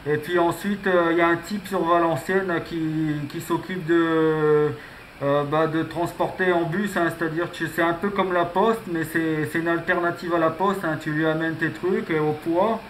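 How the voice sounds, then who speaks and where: Male radio hobbyist, slightly high voice, casual and rambling, noisy recording. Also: fairly even intonation, moderate pace, fairly bright tone. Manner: rambling, punctuates phrases with filler tags. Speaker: amateur radio operator. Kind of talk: casual radio contact chat.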